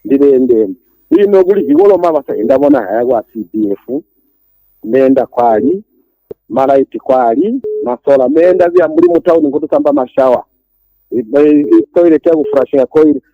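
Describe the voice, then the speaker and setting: Gravelly-voiced Zambian man calling in. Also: medium pitch, very animated, moderate pace, mellow, no room sound, low-quality recording. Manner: switches between English and Tonga, urgent complaints. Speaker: caller. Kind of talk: phone-in call on politics. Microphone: phone line.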